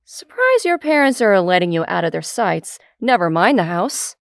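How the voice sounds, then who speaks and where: A woman's voice: mid-range voice, big pitch swings, normal speed, vocal fry, no room sound, studio quality. A woman playing a gushing, insistent, protective mother of a teenager in an audio drama. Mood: gushing, hospitable.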